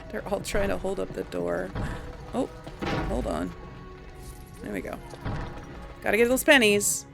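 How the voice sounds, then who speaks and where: A woman's voice: medium pitch, takes it slowly, creaky, pauses often. A woman giving casual game commentary, musing aloud to herself and her audience. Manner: musing, talks to self and audience. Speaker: game streamer, let's-player. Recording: game music and sounds behind.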